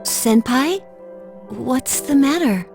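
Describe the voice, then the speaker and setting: High-voiced, earnest teenage girl. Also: animated, takes it slowly, gravelly, over music, full character voice. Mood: concerned. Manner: polite, earnest explaining. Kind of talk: video game voice acting.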